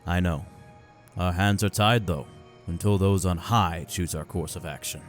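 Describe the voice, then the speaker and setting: Male actor as weary military spymaster, deep voice, measured, calculating, guarded, fantasy audio drama. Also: steady tone, faint music behind. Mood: grave, resigned.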